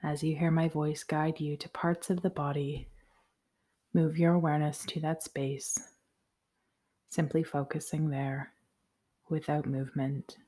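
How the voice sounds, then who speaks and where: A woman guiding a yoga nidra meditation in a soothing, measured, softly spoken way. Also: low voice, little pitch movement. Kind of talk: guided meditation, slow instructions.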